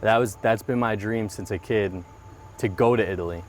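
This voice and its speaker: Earnest man with a low voice. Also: moderate pace, slight background noise. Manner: earnest, reflective, repeats phrases. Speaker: guest, mortgage business owner. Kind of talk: casual interview chat. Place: outdoors by a parked car.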